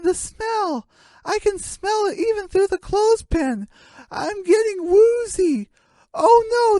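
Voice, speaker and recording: A woman voicing a frantic poultry field reporter in a squeaky voice, breathless and melodramatic. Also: a little mellow, no echo. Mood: panicked. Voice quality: squeaky, high put-on voice.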